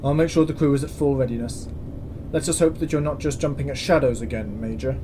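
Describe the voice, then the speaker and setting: A man acting as a stern commandant running a space station in a sci-fi audio drama. He speaks in a measured, formal way at a middle pitch, with dry authority. Clear background ambience sits behind him. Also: background noise, lightly acted. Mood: curt.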